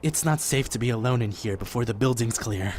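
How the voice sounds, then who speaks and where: A man acting a patient, soft-hearted young survivor in an apocalypse audio drama, a hesitant, pleading peacemaker. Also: mid-range voice, fairly quick, slight background noise, lightly acted. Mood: subdued, kind.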